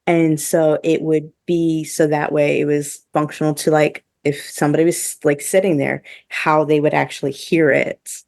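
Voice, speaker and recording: A woman's voice: low-pitched, little pitch movement, normal speed, vocal fry, few pauses, darkish tone, dry recording, clean studio sound. A woman co-hosting a podcast in a casual, chatty and enthusiastic way, peppering her talk with "like". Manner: chatty, enthusiastic, heavy use of "like". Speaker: podcast co-host. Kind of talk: casual podcast review chat.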